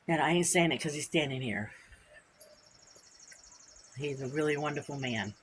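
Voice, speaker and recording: A woman's voice: low voice, moderate pace, slight background noise. A woman hosting a vlog in casual, rambling talk, stuffed up from allergies, outdoors with birds and insects around. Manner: chatty, rambling and repetitive. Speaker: vlog host. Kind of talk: casual chat to camera.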